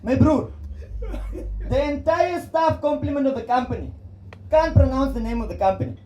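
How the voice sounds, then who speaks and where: A man's voice: very high voice, normal speed, lo-fi sound, a little hiss or hum. A South African man doing stand-up, teasing the crowd with punchy jokes. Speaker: stand-up comedian. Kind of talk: stand-up comedy set. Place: comedy club stage with live audience. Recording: echoey club, loud crowd laughter. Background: crowd laughing.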